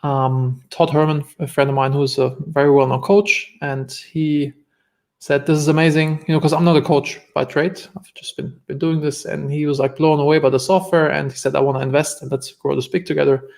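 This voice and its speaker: A man's voice: a little high, little pitch movement, fairly quick, creaky, a little mellow. A man with a German accent, a guest entrepreneur telling stories in an earnest, reflective way during a casual interview.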